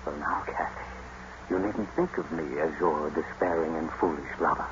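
An actor playing a bitter, tormented lover turned cruel husband, brooding and sardonic, in 1950s radio drama style. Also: male voice, extremely low pitch, moderate pace. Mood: bitter, cold. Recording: noisy, dull old radio broadcast.

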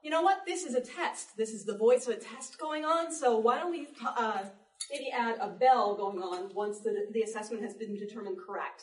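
Chatty woman presenter in an echoey room. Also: mid-range voice. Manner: chatty, explanatory. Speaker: panel presenter, sound effects artist. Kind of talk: live demonstration to an audience, informal. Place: live panel in a public library room. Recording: echoey room, distant mic, background noise.

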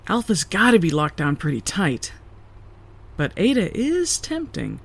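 Low-voiced, cautious moon colleague. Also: female voice, huge pitch swings, measured pace, gravelly, breathy edge, heavily acted. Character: Trina, cautious, supportive woman colleague on the Moon. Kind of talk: audio drama dialogue.

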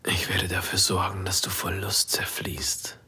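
A man's voice: low-pitched, monotone, a little slow, sharp tone, husky, in a whisper, heavily acted. A man playing an adoring, seductive secret lover in an erotic audio drama, murmuring intimately. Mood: seductive, admiring.

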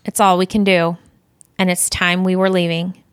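A woman's voice: on the low side, flat delivery, normal speed, clean tone, no echo, lightly acted. A woman playing a stern, exasperated alien empire commander in an audio drama, speaking formally with dry, curt delivery.

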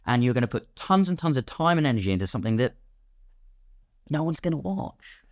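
A man's voice: medium pitch, very animated, fairly quick, dry recording, clean studio sound. A British man talking casually as a podcast guest, chatty and self-deprecating about himself. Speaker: guest, actor and filmmaker.

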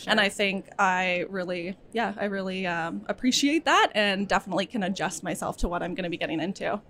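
A woman's voice: mid-range voice, fairly quick, a bit bright, slight background noise. Thoughtful Canadian woman guest. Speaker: convention attendee, guest.

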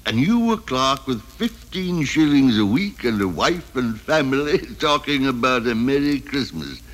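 A man's voice: mid-range voice, big pitch swings, measured pace. An older man playing a miserly, grumpy old employer in an old-time radio drama, grumbling and scornful. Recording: old radio broadcast with music.

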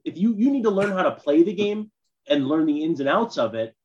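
Higher-pitched man on a dull, muffled mic. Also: very animated, brisk, a little mellow, slight background noise. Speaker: podcast co-host. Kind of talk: casual sports chat. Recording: dull, muffled lo-fi microphone sound.

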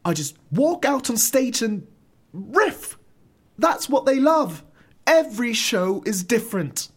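Sheepish, lively-pitched, dejected comedian. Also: male voice, slow pace, husky, full character voice. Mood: wistful, confiding. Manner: hesitant, sheepish. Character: dejected, anxious male famous comedian, sheepish and self-doubting. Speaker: actor in an audio comedy drama. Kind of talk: scripted comedy audio drama dialogue.

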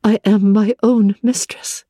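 A woman's voice: medium pitch, steady tone, a little slow, dark tone, dry recording, studio quality, natural voice. A woman playing a devoted, independent young woman, earnest and composed, in a classic novel reading. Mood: devoted, firm.

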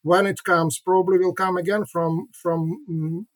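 A middle-aged man from Ukraine, a historian and author, answering interview questions haltingly, with many repeats and fillers. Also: high-pitched, level tone, dry recording.

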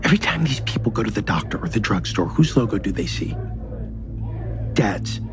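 An actor playing a weary, blunt son of a company magnate in a naturalistic audio drama. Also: male voice, fairly low pitch, sing-song, brisk, music in the background. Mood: bitter, reproachful.

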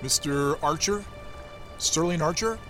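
Actor as brisk pilot under loud music. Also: male voice, on the high side, natural voice. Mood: urgent. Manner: clipped, practical. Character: brisk, businesslike small-plane pilot on secret pickup. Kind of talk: audio drama dialogue.

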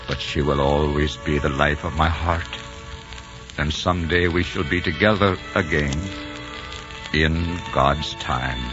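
An actor playing a grieving, devoted Scottish nobleman in a 1940s radio romance, speaking solemnly and tenderly on a dull old recording with music. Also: a bass voice. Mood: solemn devotion. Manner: solemn, tender, measured delivery.

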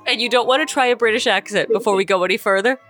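Brash, bright-voiced woman podcast host. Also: fairly high pitch, very fast, soft background music. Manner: brash, teasing, laughs often. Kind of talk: comedy podcast banter.

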